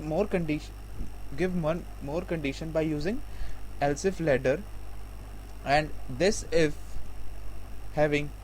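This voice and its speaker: A man tutors programming in a slightly high-pitched voice. He speaks slowly and haltingly, often repeating himself as he explains each step. There is faint noise behind him. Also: rough recording.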